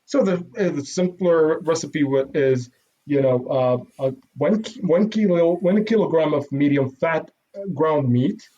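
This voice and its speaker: A man from Iraq, a modest interview guest who talks haltingly with many restarts. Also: fairly high pitch, dark tone. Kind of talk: casual interview. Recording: dull lo-fi sound.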